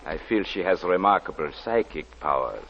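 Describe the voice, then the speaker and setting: An actor playing a suave hypnotist and stage magician in a 1940s radio drama, florid and smoothly polite. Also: male voice, low voice, animated, moderate pace, a bit bright, soft background music. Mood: suave, courtly. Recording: muffled 1940s radio recording, crowd behind.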